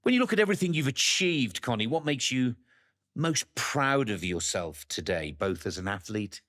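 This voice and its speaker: A man's voice: mid-range voice, animated, normal speed, a bit bright. A man hosting an interview, asking long questions and giving friendly, encouraging replies. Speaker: interviewer host. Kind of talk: podcast interview.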